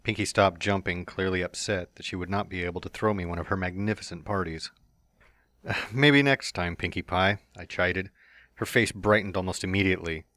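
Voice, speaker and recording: A man's voice: low voice, moderate pace, pushed and bright, dry recording. A man reading a fan story aloud as narrator, with playful, smug first-person asides.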